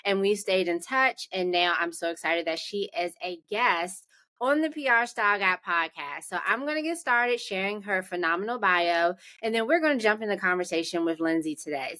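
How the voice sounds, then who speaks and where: Bright-voiced woman hosting a podcast. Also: medium pitch. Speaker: podcast host. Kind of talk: podcast introduction and interview chat.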